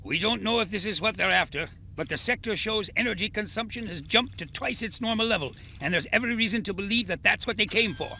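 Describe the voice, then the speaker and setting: A man's voice: high voice, animated, quick pace, vocal fry, hardly any pauses, sharp tone, slight background noise, full character voice. A man voicing a stern, demanding section chief in an English anime game dub, giving authoritative, clipped briefings. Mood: grave, businesslike.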